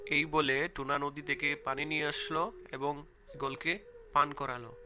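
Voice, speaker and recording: A man narrates a scripted cartoon tale as storyteller. His voice is rather high and strikingly sharp and bright. Music runs behind the narration. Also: steady tone, unhurried.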